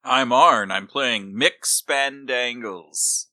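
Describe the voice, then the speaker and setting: A man's voice: medium pitch, extremely slow, sharp tone. A man joining a tabletop game as a player, talking casually. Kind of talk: casual chat.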